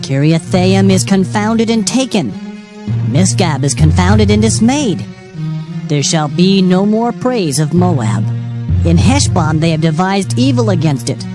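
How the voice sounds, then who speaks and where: Woman reading old bible verse, solemn n declamatory, really expressive swings, music underneath. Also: lowish voice, measured pace. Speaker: scripture reader. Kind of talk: dramatized King James Bible reading.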